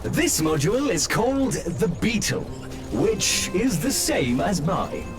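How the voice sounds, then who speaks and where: A man's voice: high voice, very animated, slow, heavily acted. A man voicing a small, polite automated taxi robot in a video game, giving formal scripted announcements. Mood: polite, informative. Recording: game music behind the voice.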